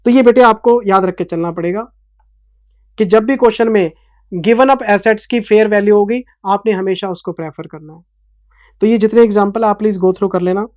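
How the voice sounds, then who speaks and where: A man's voice: high voice, normal speed, a little mellow, dry recording, studio quality. A man in his forties, an accounting teacher giving a revision lecture in a passionate, scolding then explanatory manner, with an Indian English accent. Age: 40s.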